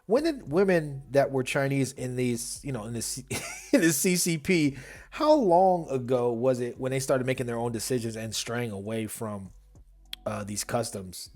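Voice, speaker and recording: A man's voice: medium pitch, a little mellow. Opinionated man rambling through a reaction. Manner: opinionated, rambling with many fillers. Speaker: reaction video host. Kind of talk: casual reaction commentary.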